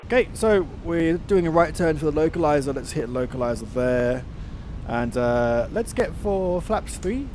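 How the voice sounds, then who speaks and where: A man's voice: fairly high pitch, expressive, moderate pace, a little mellow, a little hiss or hum. A man giving casual, enthusiastic commentary while playing a flight simulator. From outside the United States. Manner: chatty, enthusiastic. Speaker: flight sim content creator.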